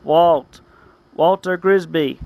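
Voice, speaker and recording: A man's voice: fairly high pitch, slow pace, creaky, dark tone, dry recording. A man voicing an earnest, self-styled hero duelist in a declamatory, melodramatic way, like amateur anime-style storytelling. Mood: heroic, pleading.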